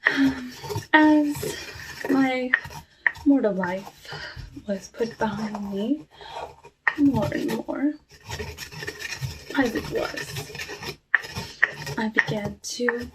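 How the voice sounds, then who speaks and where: A woman playing a lonely cursed witch in an ASMR roleplay, talking softly and wistfully with halting, hushed delivery over a noisy recording. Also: on the high side. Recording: clearly noisy with clicks.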